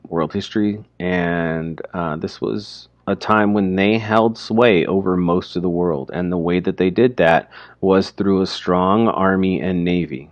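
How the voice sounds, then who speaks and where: A man teaching through informal, explanatory commentary on a story, speaking haltingly as he thinks aloud. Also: low-pitched, dry recording, lo-fi sound.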